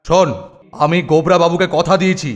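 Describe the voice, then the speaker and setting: A man's voice: fairly high pitch, level tone, a little fast, dull audio, close to an everyday voice. A man voicing a strict, blustering boarding-school master in a comic cartoon, with an exaggerated voice. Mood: stern. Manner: stern, blustering. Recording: long hall reverb, faint music.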